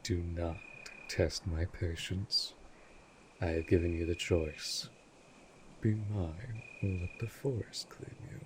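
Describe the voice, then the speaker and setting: A man playing a cold, possessive vampire master in an audio drama, speaking in a formal, commanding, measured way. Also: low-pitched, fairly even intonation, a little hiss or hum. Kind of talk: scripted roleplay audio drama.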